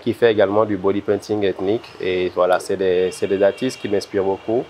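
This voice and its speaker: A man from Benin speaks French with a Beninese accent in a relaxed interview exchange. His voice sits fairly low, and he often doubles back on words while thinking. A faint noisy ambience runs behind him. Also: fairly quick. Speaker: interview guest, visual artist. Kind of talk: conversational interview answers.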